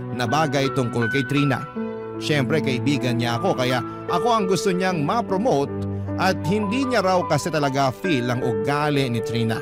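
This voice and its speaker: A man narrating a scripted, sentimental first-person story as a radio storyteller. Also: a little high. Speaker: radio storyteller reading a letter-sender's story. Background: background music.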